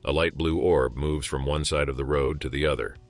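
A man calmly reading out a story. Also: extremely low pitch, a little fast, soft background music. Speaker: narrator. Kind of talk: scripted narration of a reader story.

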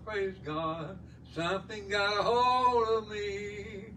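A man in the congregation slowly reciting a gospel song's words in a small church. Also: high-pitched, steady tone, a crowd in the background. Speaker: congregation member reciting a song. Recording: distant, faint room noise.

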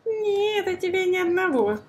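Woman, high-pitched, lively intonation, child-directed toy demo, exclamatory, playful, noisy camera recording. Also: moderate pace. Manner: exclamatory, full of oohs and gasps. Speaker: toy review host, mother playing with her child.

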